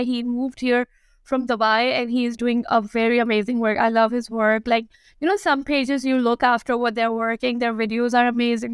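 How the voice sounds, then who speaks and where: A woman's voice: a little high, clear, resonant tone, no echo, studio quality. A woman in her thirties from Pakistan with a Pakistani accent, answering interview questions casually with many fillers and restarts. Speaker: guest, food blogger.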